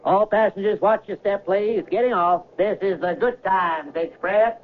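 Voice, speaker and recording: Officious train conductor, tinny sound. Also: male voice, sits high, unhurried. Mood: calling out. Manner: questioning, officious, matter-of-fact. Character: brisk, matter-of-fact male conductor of a magical train. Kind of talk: 1970s radio drama acting. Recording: thin phone-like old radio recording, noisy.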